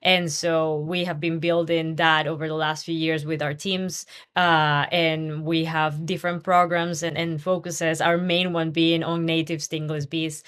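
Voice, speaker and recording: A woman from Peru, a scientist guest on a podcast, talking earnestly and passionately, often restarting her sentences. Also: low voice. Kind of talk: podcast interview conversation.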